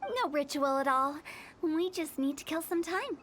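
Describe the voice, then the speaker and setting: A woman's voice: high-pitched, lively intonation, measured pace, fairly bright tone, a little hiss or hum. A woman voicing a confident, theatrical young funeral director in a video game. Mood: amused, knowing. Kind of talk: English video game voice acting. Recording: game music playing behind.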